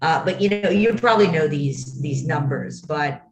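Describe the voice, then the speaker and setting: A woman from Brazil, a startup founder, talking as an interview guest, quick and confident. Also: deep voice, lively intonation, echoey room, a little hiss or hum, rough recording. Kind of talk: interview conversation.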